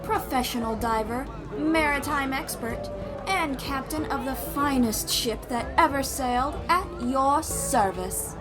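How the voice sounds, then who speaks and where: A woman's voice: sits high, unhurried, voice pushed up. A woman voicing a hearty, eager female sea captain with an exuberant, projecting delivery in a fantasy audio roleplay. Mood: proud flourish. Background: music.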